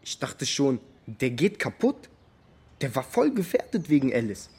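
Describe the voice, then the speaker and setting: Blunt teenage lad, street slang, husky mid-range voice, radio play testimony. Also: male voice, medium pitch, very animated, measured pace, darkish tone, full character voice. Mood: troubled. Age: young adult. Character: blunt slangy teenage buddy from the basketball crowd. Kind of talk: naturalistic radio drama, interview testimony.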